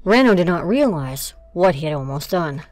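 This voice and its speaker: Low-pitched female narrator. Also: animated, moderate pace, darkish tone, no echo. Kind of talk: scripted audio drama narration.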